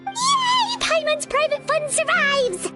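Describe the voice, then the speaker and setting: A woman voicing a tiny, excitable, blunt fairy companion in a video game, with a squeaky childlike voice. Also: takes it slowly, heavily acted. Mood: excited, cheerful. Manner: exclamatory, speaks of self in third person. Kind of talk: video game character dialogue. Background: background music.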